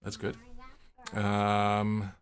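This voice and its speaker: A man's voice: fairly low pitch, completely flat delivery, drawn-out words, smooth. A man in his fifties, originally from Miami, hosting a casual livestream and chatting through viewer comments with rambling stories and many fillers. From Miami, Florida. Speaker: livestream host. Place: home office.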